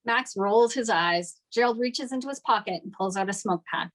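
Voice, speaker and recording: A woman's voice: fairly high pitch, moderate pace. Woman reading screenplay stage directions. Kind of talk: scripted narration at a table read.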